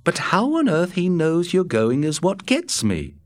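Male narrator playing a high-pitched, anxious, nagging helper; fretful and pushy. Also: huge pitch swings, measured pace, no echo, exaggerated voice. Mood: worried. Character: fretful, talkative man, cabin helper.

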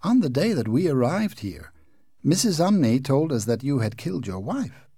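A man's voice: medium pitch, very animated, moderate pace, warm tone, breathy edge, dry recording, clean studio sound. A man voicing a prim, indignant young American girl who scolds sharply. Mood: reproachful.

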